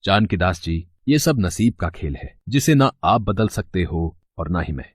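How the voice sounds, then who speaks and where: A man voicing a solemn priest in an animated story, speaking in a grave, measured way with a husky voice. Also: deep voice, no echo, clean studio sound, exaggerated voice. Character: solemn male priest reading horoscopes.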